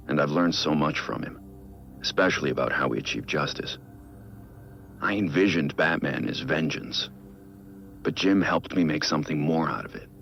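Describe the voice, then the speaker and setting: An actor playing a brooding billionaire vigilante, recording a measured, reflective personal audio log in a game. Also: male voice, muffled recording. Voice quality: low gravelly voice. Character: brooding billionaire vigilante, man in his prime.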